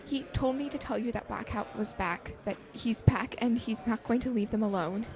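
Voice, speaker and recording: A woman acting a shaken, nervous young woman in a naturalistic audio drama, halting and stammering. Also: fairly high pitch, over music, close to an everyday voice. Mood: shaken, rattled.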